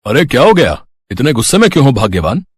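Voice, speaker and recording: A man's voice: mid-range voice, very animated, fast talker, slight background noise, close to an everyday voice. Man playing a teasing easygoing cartoon dad. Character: good-humored middle-aged father and husband.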